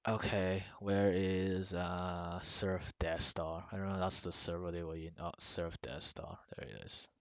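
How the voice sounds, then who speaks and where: A man's voice: low voice, level tone, a little slow, fairly bright tone, no room sound. A young man from Sweden with a Swedish accent, streaming a game and trolling other players with smug, mock-formal taunts. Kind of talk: trolling game-chat banter.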